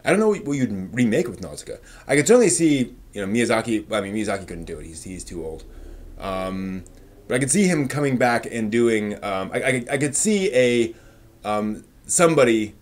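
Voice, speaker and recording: A man hosting a casual live stream, replying to viewer comments with thinking pauses and firm, emphatic opinions. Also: on the low side, animated.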